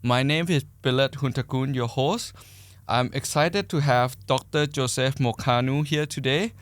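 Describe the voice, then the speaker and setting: A man's voice: mid-range voice, normal speed, no echo. A man hosting a podcast, introducing and interviewing a guest in a conversational style. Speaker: podcast host.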